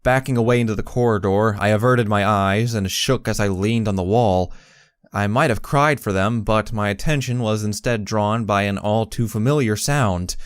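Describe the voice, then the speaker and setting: Lowish, earnest young pony narrator voiced by a man. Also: moderate pace, clear, resonant tone, no echo, studio quality, natural voice. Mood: uneasy. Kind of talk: first-person story narration.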